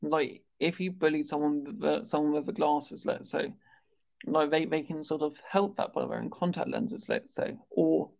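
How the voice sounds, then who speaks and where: A man with a stammer who speaks haltingly, repeating words and sounds as he hosts a conversational interview. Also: a little high, steady tone, creaky, darkish tone. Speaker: interviewer and stammering awareness campaigner. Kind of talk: conversational interview on a video call. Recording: dull, lo-fi video call audio.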